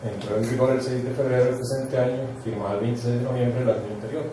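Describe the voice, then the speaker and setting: A man's voice: mid-range voice, steady tone, fast talker, dark tone, slight background noise. Man speaking far off in an echoey hall. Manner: explanatory, self-correcting with fillers. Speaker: university researcher on a panel. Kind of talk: panel talk with statistics. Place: large hall.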